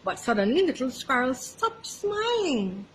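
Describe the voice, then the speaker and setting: High-voiced woman reading a children's story. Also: unhurried, a little hiss or hum, low-quality recording. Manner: expressive, animated. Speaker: library storyteller. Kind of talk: children's storybook read-aloud.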